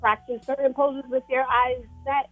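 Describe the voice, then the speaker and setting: Casting: female interview guest, Trinidadian accent, higher voice, casual and halting delivery, music under it. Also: flat delivery, phone-quality sound. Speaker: guest, fashion model. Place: radio studio. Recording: music playing behind.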